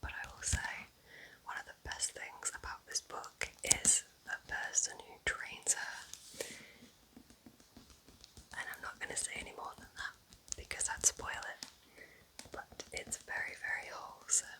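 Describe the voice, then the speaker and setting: Whispering woman, gentle ASMR. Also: unhurried, pauses often, low-quality recording. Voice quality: breathy unvoiced whisper. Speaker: ASMR creator presenting book recommendations. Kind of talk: whispered ASMR, casual book chat.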